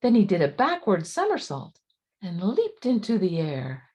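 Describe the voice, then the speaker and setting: Expressive, slow-paced woman reading a storybook. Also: mid-range voice, husky. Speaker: storybook reader. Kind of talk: expressive read-aloud of rhyming children's story.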